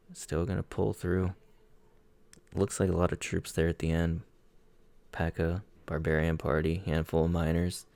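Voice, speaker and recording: A man with a very deep, gravelly voice talks through gameplay in an easy, conversational way, picking apart each move. He sounds hoarse, like his voice is wearing out. The sound is dry and close, with no room echo. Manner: relaxed, conversational breakdown of strategy. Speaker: clan player reviewing war attacks. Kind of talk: casual gameplay commentary.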